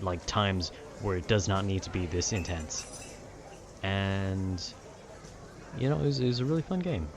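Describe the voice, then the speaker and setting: Man, very low voice, casual nerdy rambling, meandering and conversational, clearly noisy ambient background. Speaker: content creator. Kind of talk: casual rambling monologue about video games.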